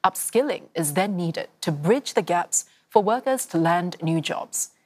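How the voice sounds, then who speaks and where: A woman presenting a news report and interviewing a guest, with measured, clear delivery. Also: lowish voice, lively intonation, a little mellow. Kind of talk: scripted news reading and interviewing.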